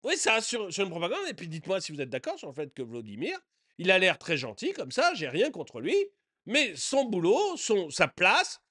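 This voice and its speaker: A man hosting a commentary channel, reacting to political TV clips with indignation that climbs to shouting and then drops into mumbled fillers. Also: sits high, huge pitch swings, normal speed.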